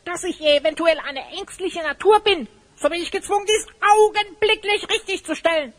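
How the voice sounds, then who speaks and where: Squeaky, indignant little elf kobold. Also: female voice, moderate pace. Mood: offended dignity. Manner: indignant, stilted formal speech. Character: touchy little elf kobold, easily offended, boastful. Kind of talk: German fantasy audio play acting. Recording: noisy, clicking old cassette transfer.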